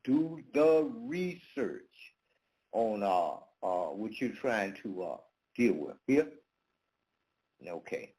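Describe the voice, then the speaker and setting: A man's voice: fairly low pitch, lively intonation, dark tone, poor audio. A man hosting his own channel, talking his viewers through a topic in a loose monologue full of fillers and restarts. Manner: halting, many fillers and restarts. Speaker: channel host. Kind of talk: casual monologue to camera.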